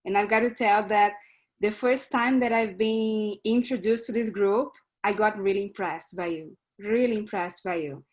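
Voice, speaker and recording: A woman's voice: mid-range voice, normal speed, darkish tone. A woman from Brazil with a Brazilian Portuguese accent, giving an earnest, slightly hesitant self-introduction over a video call. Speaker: club member introducing herself. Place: online meeting.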